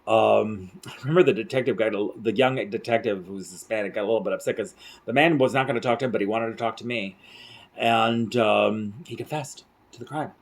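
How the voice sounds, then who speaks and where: A man's voice: fairly low pitch, brisk. A middle-aged man from central rural Mexico, an interpreter telling stories to the camera in a rambling way, full of fillers and restarts, recorded close up in a small room at night. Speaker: interpreter and vlogger. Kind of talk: casual storytelling to camera.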